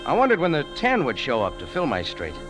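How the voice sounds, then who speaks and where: An actor playing a hard-boiled, wisecracking American café owner in a vintage radio mystery, with a clipped delivery. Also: male voice, medium pitch, wildly swooping pitch, brisk, music in the background. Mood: wry narrating. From United States. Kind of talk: 1940s-50s radio detective acting, with first-person narration.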